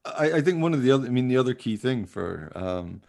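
A man's voice: medium pitch, lively intonation, a little fast, gravelly, a little mellow. A man in casual, informal conversation, talking in a rambling way as a podcast host. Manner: rambling, informal, often hedging with "you know". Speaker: podcast host, radio play writer. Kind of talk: casual chat.

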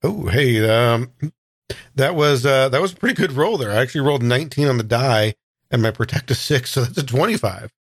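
A man's voice: mid-range voice, lively intonation, brisk, no echo, studio quality. A man taking part as a player in a tabletop game, casually explaining what his character does.

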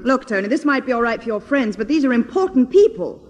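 A woman's voice: fairly high pitch, animated, quick pace, natural voice. An actress playing a sensible, disapproving woman friend in a 1950s radio sitcom, scolding with dry wit. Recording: old noisy radio recording, reverberant theatre sound.

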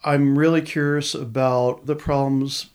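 A man's voice: medium pitch, little pitch movement, a little slow, a little mellow, clean tone. A male podcast co-host reading show notes and asking long, detailed questions. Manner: friendly, informal announcements and long questions. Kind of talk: podcast hosting.

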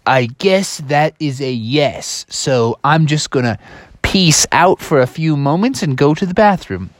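A woman's voice: low voice, animated, measured pace, no room sound, studio quality. A woman voicing a casual, sheepish young male frog pupil, hesitant and laid-back.

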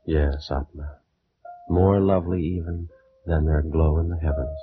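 A man's voice: extremely low pitch, steady tone, unhurried. A man acting an earnest, lovestruck ancient Egyptian sorcerer in a formal, theatrical old radio drama style. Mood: urgent, persuasive. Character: ardent, solemn male ancient Egyptian sorcerer in love. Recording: tinny old radio recording with music.

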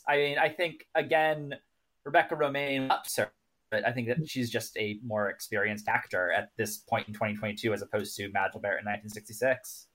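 A man's voice: lowish voice, fairly even intonation, fairly quick, fairly bright tone. A man co-hosting a podcast, chatting casually and analytically about a TV show. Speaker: podcast co-host.

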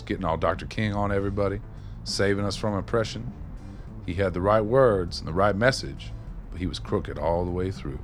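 A man's voice: sits low, close to an everyday voice. An actor playing a streetwise, wry former maintenance man, telling stories in a folksy, colloquial way in a radio play. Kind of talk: radio play dialogue, reminiscing.

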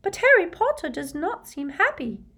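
Lady doing a squeaky little male elf servant, super high, eager and grovelling, audiobook style. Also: big pitch swings, normal speed, fairly bright tone, full character voice. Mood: timid, concerned. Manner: deferential, speaks of himself in third person.

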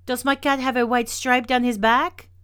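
A woman voicing a plump, excitable old farm woman in a storybook tale, urgent and sharply indignant. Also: on the high side, moderate pace, smooth, pitched above the performer's own voice, close to an everyday voice. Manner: calls out urgently, retorts sharply.

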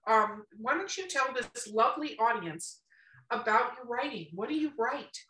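Bright, higher-pitched woman hosting, roomy echo. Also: expressive, moderate pace. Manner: playful, exclamatory. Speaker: podcast host. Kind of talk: casual interview chat over drinks.

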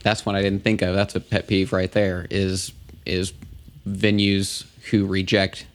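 A man with a fairly low voice co-hosting a relaxed podcast talk. He thinks aloud, pausing on fillers as he works his way toward a point. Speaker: podcast co-host, DJ. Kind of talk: casual chat.

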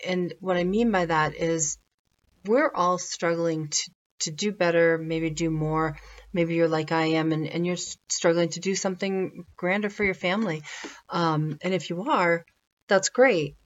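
Low-voiced woman, dull lo-fi recording. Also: steady tone, unhurried. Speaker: motivational spiritual speaker. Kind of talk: informal advice talk.

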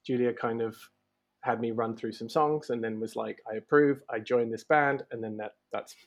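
A male musician talks loosely through his band's influences, stopping often on ums and likes. His voice has a gravelly edge with a husky, breathy grain. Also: mid-range voice, steady tone, normal speed, dull audio. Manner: hesitant, many ums and likes. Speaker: band member, guitarist. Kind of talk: casual interview chat.

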